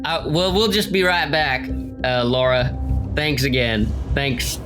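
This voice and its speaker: A man's voice: mid-range voice, measured pace, over music. A man playing a tabletop roleplaying game, rambling in an easygoing, hesitant way in character. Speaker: player.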